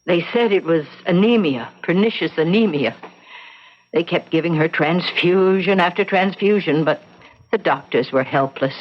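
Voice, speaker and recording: A woman playing a grieving, haunted middle-aged housekeeper, telling her tale haltingly and solemnly in an old-time radio drama style. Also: on the low side, lightly acted. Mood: grave, haunted. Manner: halting, sorrowful storytelling. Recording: dull lo-fi radio recording.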